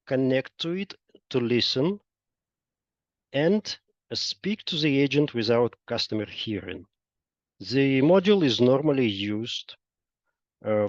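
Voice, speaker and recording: A man presenting a software product in a webinar, explaining step by step with frequent pauses and hesitations. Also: medium pitch. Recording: dull lo-fi roomy online webinar audio.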